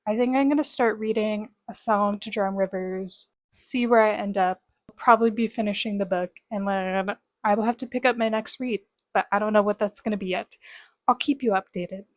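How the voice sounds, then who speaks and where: A woman's voice: medium pitch, steady tone, moderate pace, no room sound. A young woman chatting casually as a book vlogger, rambling with lots of fillers. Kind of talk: casual chat to camera.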